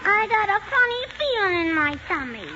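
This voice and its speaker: A cheeky little girl in an old radio comedy, with a tiny, extremely high voice. She is childish and contrary, answering back in baby grammar. The recording is muffled and very noisy. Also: big pitch swings, moderate pace. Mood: whiny, stubborn. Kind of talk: 1940s radio comedy acting.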